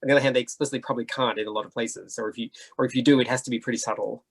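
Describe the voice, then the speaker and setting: A man speaking conversationally as a panelist in a casual discussion, animated and often checking in with the listener. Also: mid-range voice, rapid-fire, creaky, breathy edge.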